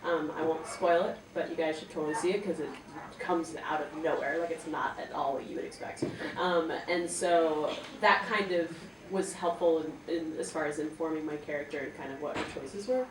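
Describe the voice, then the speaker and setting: A woman's voice: sits low, moderate pace. A woman voice actress and singer chatting on a convention panel, bubbly and peppered with "like". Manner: bubbly, lots of "like", laughs between words. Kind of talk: casual panel talk. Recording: echoey hall, distant mic, noisy.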